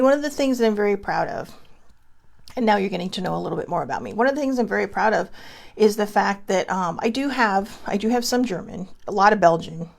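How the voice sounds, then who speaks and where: A woman from Hawaii hosts a casual vlog and speaks directly to her audience. She wanders from thought to thought, restarting sentences and leaning on little fillers. Her mid-pitched voice has a gravelly, creaky texture. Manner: chatty, meandering, many fillers and restarts. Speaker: vlog host. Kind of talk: casual rambling monologue to viewers.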